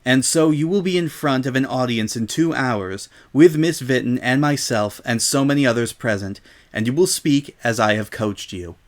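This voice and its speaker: Guy doing a regal pony princess lady, stern n formal, measured storybook talk. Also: mid-range voice, lightly acted. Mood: grave, stern. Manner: formal, deliberate speech. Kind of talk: dramatic reading of a fan story.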